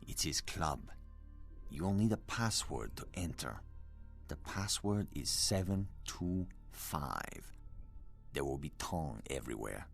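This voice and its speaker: An actor playing a grave, honour-bound former gangster in a video game, speaking solemnly and deliberately in a gravelly voice. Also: male voice, rumbling low, very animated, faint music behind, heavily acted. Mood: grave, wary.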